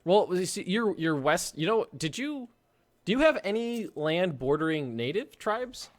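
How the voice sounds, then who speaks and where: A man's voice: sits high, very animated, unhurried. Chatty American man hosting a game. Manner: laughing, chatty, organizing the group. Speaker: host of a multiplayer game session. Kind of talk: casual group chat over voice call.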